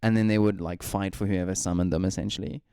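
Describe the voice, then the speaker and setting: A man's voice: low-pitched, steady tone, fast, warm tone, clean tone, no room sound, studio quality. A man co-hosting a gaming podcast, rambling through his thoughts with lots of likes and ums. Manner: rambling, full of likes and ums, laughs easily. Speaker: podcast co-host. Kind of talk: casual gaming podcast chat.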